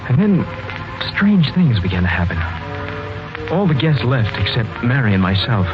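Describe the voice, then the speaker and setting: A man's voice: mid-range voice, very animated, normal speed, natural voice. An actor playing an earnest, shaken, hesitant man who narrates his own 1940s radio mystery. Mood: hushed, suspenseful. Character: earnest, shaken young man, wrongly suspected lover and narrator. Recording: muffled 1940s radio broadcast with music.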